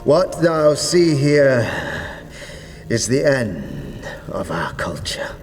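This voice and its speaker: A man voicing an ancient, weary undead ruler who speaks in archaic thou-speech, grave and slow. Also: medium pitch, very animated, in a large hall, soft background music, voice pushed up.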